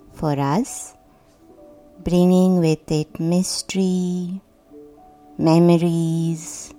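A woman guiding a sleep meditation in a soothing, hushed, deliberate voice with long pauses. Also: low voice. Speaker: meditation guide. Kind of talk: guided sleep meditation, scripted narration. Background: soft meditation music.

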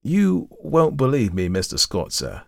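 A man voicing a nervous young Cockney man who keeps calling his listener sir, speaking in a halting, pleading way. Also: medium pitch, huge pitch swings, gravelly, a little mellow, dry recording, pitched above the performer's own voice, full character voice. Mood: frightened. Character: young Cockney man, frightened, uneasy, deferential.

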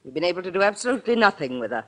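An actress playing a strict, sour orphanage matron of about fifty in a 1940s radio drama, scolding and indignant. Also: female voice, on the low side, very animated, very fast, a bit bright. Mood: sour complaint. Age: middle-aged.

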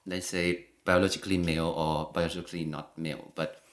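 Very low-voiced man. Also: level tone, brisk. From Thailand. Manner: hesitant, many fillers and restarts. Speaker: guest. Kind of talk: casual conversation.

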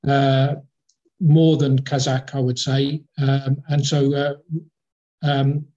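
A man's voice: medium pitch, little pitch movement, slow pace, warm tone, dull audio. A man, a football club executive, talking as a guest in a casual interview, rambling and hesitant with many fillers and restarts. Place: in the capital of Kazakhstan.

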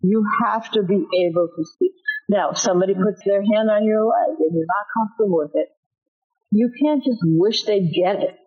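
Husky, booming woman instructor. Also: moderate pace, highs cut off, soft background music. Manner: emphatic, acts out example lines. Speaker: self-defense instructor. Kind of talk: instructive interview talk.

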